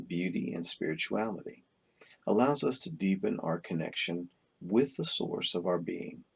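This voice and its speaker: A man reading a self-help book aloud as scripted narration, in measured, deliberate phrases with pauses between them. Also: on the low side, gravelly, a bit bright, husky. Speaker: audiobook reader.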